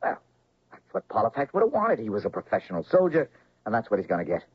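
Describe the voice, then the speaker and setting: An actor playing an earnest, insistent doctor and grown son in a radio drama, urgent and questioning. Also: male voice, fairly low pitch, wildly swooping pitch, fast, slightly rough, full character voice. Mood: brisk, firm. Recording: dull, muffled old radio recording.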